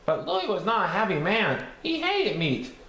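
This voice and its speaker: A man voicing a cheerful cartoon sea sponge who reads a storybook aloud in a halting sing-song. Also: sits high, wildly swooping pitch, sharp tone, exaggerated voice. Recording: echoey room with faint background noise.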